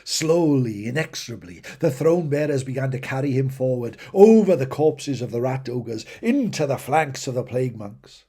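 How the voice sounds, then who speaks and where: Husky man narrating fantasy battle fiction dramatically. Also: mid-range voice, lively intonation, normal speed, hardly any pauses, warm tone. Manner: theatrical, emphatic, rising and falling in intensity. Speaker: audiobook reader of fantasy fiction.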